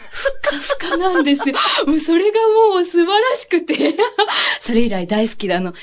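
A woman's voice: extremely high pitch, big pitch swings, moderate pace. A young woman from Japan chatting casually as a guest, polite and bubbly, giggling easily. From Yokohama, Japan. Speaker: guest, trainee voice actor. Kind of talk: casual chat.

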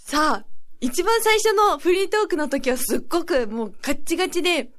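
A young woman with an extremely high voice. She chats casually as a radio guest, playful and prone to giggling. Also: animated, fairly quick. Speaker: fledgling voice actor guest.

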